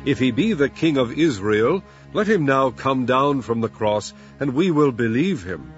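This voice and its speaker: A man's voice: medium pitch, big pitch swings, unhurried, pitched above the performer's own voice. A man voicing a mocking, demanding biblical crowd in a declamatory, emphatic scripture reading. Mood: mocking, taunting. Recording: muffled lo-fi with music behind.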